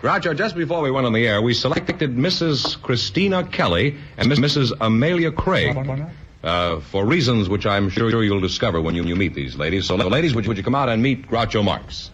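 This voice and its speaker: A man's voice: medium pitch, lively intonation, fairly quick, fairly bright tone, husky, lo-fi sound, soft background music. A man serving as quiz show announcer, giving scores and introductions in a businesslike way. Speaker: announcer and sidekick. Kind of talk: broadcast announcing.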